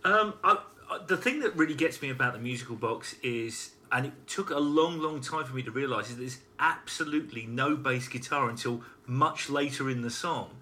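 Male panelist: bright, forward tone, deliberate casual chat, slightly noisy recording. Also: medium pitch. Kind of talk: casual music discussion.

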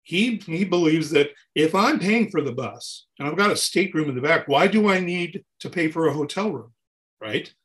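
Animated man in his sixties, chatting. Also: fairly high pitch, normal speed. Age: 60s. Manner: animated, talks in long runs with restarts. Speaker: touring keyboard player, guest. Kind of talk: casual interview chat.

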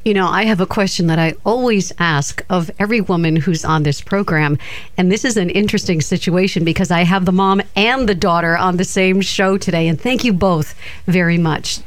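Female interview host, encouraging and inquisitive, lowish voice. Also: fairly even intonation, normal speed, no echo. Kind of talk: interviewer questions.

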